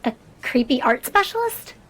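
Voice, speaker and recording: An earnest young woman with a distinctly high voice. She is wary and questioning, speaking in casual audio drama banter. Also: big pitch swings, normal speed, a bit bright. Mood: curious, questioning. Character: earnest, easily unsettled, hesitant young woman.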